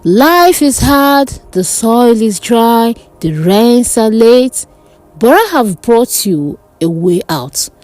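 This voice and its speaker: A woman voicing an eerie, mocking sorceress who sells dreams in a dark folk tale, purring and cryptic. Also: fairly high pitch, big pitch swings, faint music behind, pitched above the performer's own voice, rough recording. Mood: seductive, coaxing. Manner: purring, slow, bored, cryptic.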